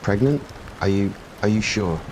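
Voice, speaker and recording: A man voicing a young king in a fantasy audio roleplay, speaking softly and haltingly. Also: on the low side, slight background noise, lightly acted, low-quality recording. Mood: stunned. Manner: hesitant, halting, soft-spoken. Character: young male king, astonished and softened, normally harsh. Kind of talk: fan-fiction audio roleplay.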